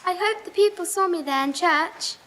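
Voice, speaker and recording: A woman's voice: very high voice, moderate pace, a bit bright. A woman playing a frail, sweet, pious little boy in a live radio play, speaking with earnest innocence. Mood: innocent, earnest. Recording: noisy live stage recording with clicks.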